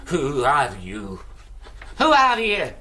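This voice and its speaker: A man's voice: fairly high pitch, huge pitch swings, slow pace, noisy background, heavily acted. An actor playing a sly, cowardly, fraudulent witch-hunter in a Scottish accent, in a period radio drama. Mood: terrified.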